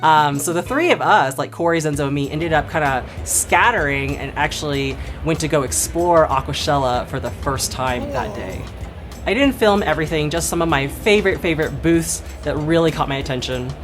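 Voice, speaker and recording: A woman's voice: sits low, expressive, fairly quick, over music. A woman in her thirties narrating a casual vlog in a chatty, upbeat way, laughing often. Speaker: vlogger.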